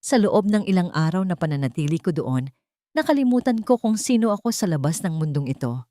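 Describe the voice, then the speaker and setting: A woman storyteller with a fairly low voice reads a scripted audio drama. Her delivery is emotive and suspenseful, with wide melodic movement. The sound is dry and close, with no room around it. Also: very animated, fairly quick, dark tone, no room sound.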